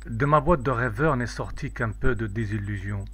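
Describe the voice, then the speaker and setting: A man reciting a poem in a measured, declamatory, solemn way. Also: medium pitch, husky. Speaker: poetry reader.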